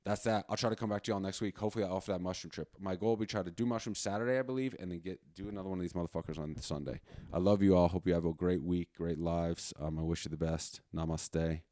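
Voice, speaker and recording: Male podcast host, late 30s, low voice, dry sound. Informal, sweary, unscripted rambling. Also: fairly even intonation, quick pace, few pauses, warm tone, no echo. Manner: profane, slangy, informal. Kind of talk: casual rambling solo chat.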